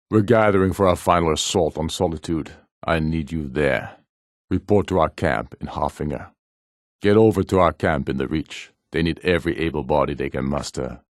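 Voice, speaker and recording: A deep, low-voiced warrior jarl from a fantasy game, recorded close and dry. He leads a rebellion with proud authority and speaks in a grave, formal, commanding way. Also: no room sound. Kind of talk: dramatic fantasy video game voice acting.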